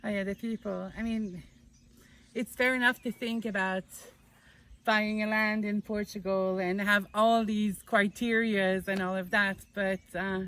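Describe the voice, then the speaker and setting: A woman's voice: medium pitch, fairly even intonation, unhurried, a bit bright. A woman from Canada, earnestly answering interview questions as a guest outdoors at her building site.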